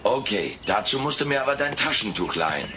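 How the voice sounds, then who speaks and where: A man's voice: mid-range voice, sing-song, brisk, natural voice. A man voicing a cheeky furry alien who boasts as an amateur magician in showy, pompous patter, in a comedic radio play. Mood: glib, wry. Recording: noisy old cassette transfer.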